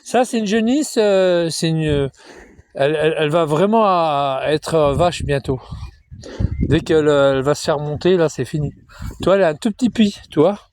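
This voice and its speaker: A man's voice: normal speed, a little mellow, no echo. A man talking casually outdoors in a cow pasture, rambling and repetitive, cooing to the cows now and then while filming on his phone. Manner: chatty, repetitive, coos to the cows in a high sing-song voice. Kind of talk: casual rambling talk to camera.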